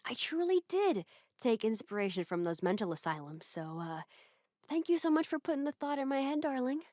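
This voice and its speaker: Soft, mellow yandere woman, acted out by a woman. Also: high voice, sing-song, brisk, no echo, studio quality. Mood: sweet, controlling. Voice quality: soft, breathy, slipping into whispers. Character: obsessive yandere woman, sweetly doting, softly menacing captor. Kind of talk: ASMR roleplay.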